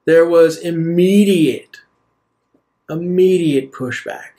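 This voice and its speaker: A man voicing an aged, philosophical leader in a tabletop game, speaking solemnly and deliberately with pauses. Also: a little high, mellow. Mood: insistent. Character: aged male leader, measured, grave, philosophical, persuasive. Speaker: game master. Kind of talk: in-character tabletop roleplay.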